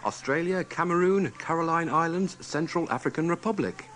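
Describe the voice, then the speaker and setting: A man's voice: on the high side, fairly quick, a bit bright. A man co-presenting a radio show, reading items aloud on air.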